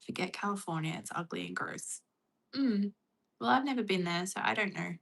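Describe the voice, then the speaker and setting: A woman's voice: medium pitch, lively intonation, normal speed, vocal fry, fairly bright tone. An Australian woman chatting casually on a livestream, reading and answering viewer comments. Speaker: livestream host.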